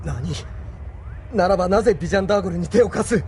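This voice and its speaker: Urgent high-pitched actor as a heroic male pilot. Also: fast talker, slight background noise, lightly acted. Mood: indignant, impassioned. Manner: urgent, impassioned, shouting. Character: earnest heroic young male mech pilot. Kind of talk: dramatic sci-fi anime audio drama acting.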